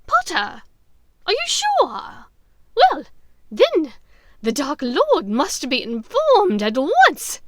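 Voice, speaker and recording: Bellatrix, a shrieking, maniacal dark witch. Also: high-pitched, huge pitch swings, drawn-out words, no echo, studio quality, voice pushed up, heavily acted. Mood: gloating excitement. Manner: theatrical, contemptuous, explosive. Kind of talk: dramatic audiobook character voice.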